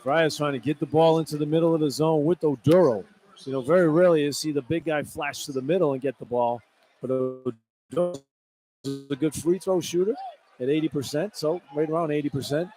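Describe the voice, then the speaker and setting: A man's voice: a little high, a little fast, mellow, faint music behind. A man giving live basketball color commentary as an analyst, conversational and opinionated. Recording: poor radio feed, clear background noise.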